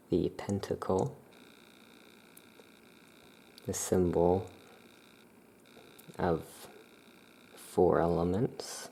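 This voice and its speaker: A soft-spoken ASMR performer playing a shopkeeper, presenting items in an unhurried, explanatory way. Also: low-pitched, creaky, a little hiss or hum.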